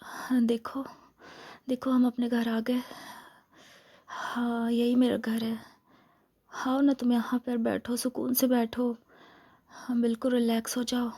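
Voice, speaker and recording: A woman playing a lonely, seductive vampire in a soft-spoken ASMR role-play, murmuring intimately and often whispering with lingering, coaxing words. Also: a little high, flat delivery, slow, pauses often. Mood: calm, welcoming.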